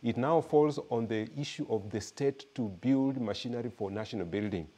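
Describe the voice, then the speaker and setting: A Kenyan man giving an academic lecture and answering audience questions, measured and searching for words as he talks. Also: mid-range voice, dark tone. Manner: measured, repeats words while searching for them. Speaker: guest lecturer.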